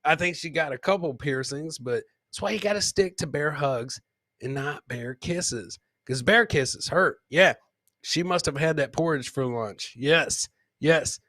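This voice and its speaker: A man hosting a comedy podcast, bantering casually in a rowdy, rambling way with shouted bursts. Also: a little high, no room sound, clean studio sound. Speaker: host of a live comedy podcast. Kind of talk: boisterous casual live banter with listeners.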